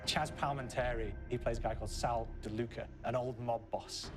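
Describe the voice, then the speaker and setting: A man's voice: mid-range voice, steady tone, normal speed. A man speaking as a game developer in a behind-the-scenes interview, explaining in a conversational way. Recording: clear music bed behind.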